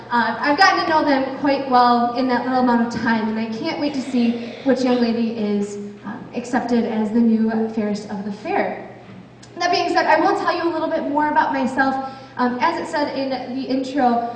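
A woman's voice: on the high side, a little hiss or hum. A woman in her twenties from Wisconsin giving an upbeat, sincere speech as a fair ambassador, with some ums and uhs, on a handheld mic. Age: 20s. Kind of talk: public speech at a pageant. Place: large wood-paneled hall with stage. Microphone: handheld mic, far camera. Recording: echoey hall from far off.

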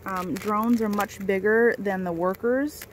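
A woman's voice: medium pitch, fairly even intonation, a little slow, a little hiss or hum. Woman beekeeper outdoors among buzzing bees. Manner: chatty, explains as she works. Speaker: beekeeper, co-host. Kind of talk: casual explaining during a hive inspection. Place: outdoors at a backyard beehive. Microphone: handheld camera mic, crinkling gloves.

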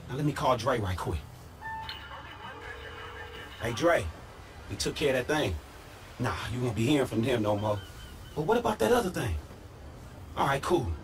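A man's voice: medium pitch, big pitch swings, moderate pace, pauses often, music in the background. A man acting a brash, streetwise middle-aged American fixer in a video game, with slangy, profane swagger. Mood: businesslike.

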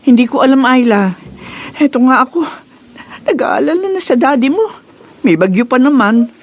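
A woman's voice: sits high, lively intonation, normal speed. A woman playing an anxious, emotional wife and mother in a Filipino radio melodrama, fretful and melodramatic. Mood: worried.

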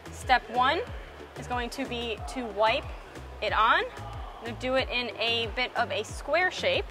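Young woman, kinda high voice, upbeat how-to vlog talk in a big boat warehouse, music under it. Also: measured pace. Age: young adult. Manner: upbeat, explanatory, talks step by step. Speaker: co-host of a boating channel. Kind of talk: casual how-to vlog narration. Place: large indoor boat storage warehouse.

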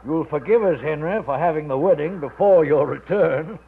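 An actor playing a jovial, avuncular old colonel in an old-time radio drama. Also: male voice, a little high, moderate pace, vocal fry, husky. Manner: hearty, avuncular, chuckling. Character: jovial, hearty old colonel, newly married. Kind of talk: 1950s radio drama acting. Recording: narrow-band old radio recording with faint noise.

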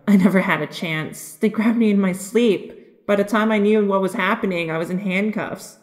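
An anxious young heroine played by a woman in an audio drama. She speaks earnestly and with worry, at a middling pitch. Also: normal speed, darkish tone, lightly acted. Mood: worried. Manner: worried, earnest. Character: anxious, frightened young female captive hero. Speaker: voice actor.

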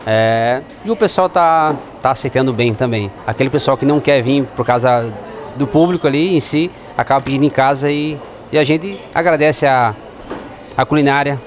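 A man's voice: medium pitch, moderate pace, slight background noise. A man in his thirties, a restaurant manager, explaining things plainly in an interview in a restaurant dining room into a handheld mic. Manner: plain, explanatory, frequent "né" tags. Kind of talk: interview answers. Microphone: handheld microphone held by host.

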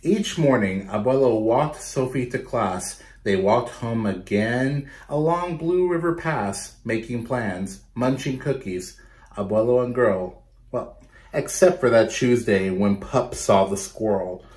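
A man in his thirties reads a children's picture book aloud at a medium pitch. He speaks like a storytime host, stopping to put questions to young listeners and explain things. The recording is made indoors in a small bedroom. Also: slow, a little mellow.

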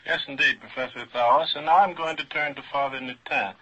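A man's voice: mid-range voice, brisk, bright, forceful tone, breathy edge. A man moderating a radio panel, putting questions to his guests in a formal, courteous way. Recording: dull, lo-fi old radio recording.

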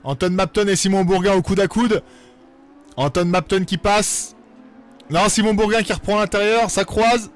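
Man commentating a sim race. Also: sits high. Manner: relaxed, hesitant, many fillers, quick laughs.

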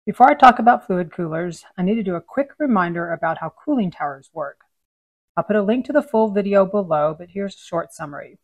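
A woman's voice: mid-range voice, fairly quick, dry recording, low-quality recording. A woman in her thirties presenting a scripted technical lesson, explaining equipment step by step as she draws. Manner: clear, steady instructional delivery. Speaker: HVAC training presenter.

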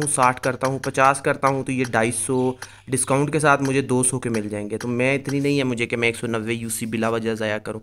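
A man's voice: medium pitch, pushed and bright, a little hiss or hum. A man hosting a gaming video, casually explaining things straight to his viewers as he goes. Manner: explanatory, addresses viewers directly. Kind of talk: casual screen-recorded game commentary.

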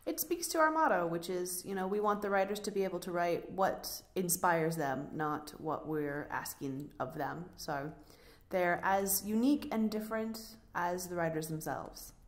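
A woman's voice: lowish voice, moderate pace. A woman co-hosting a podcast, chatting casually and giving show announcements. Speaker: podcast co-host.

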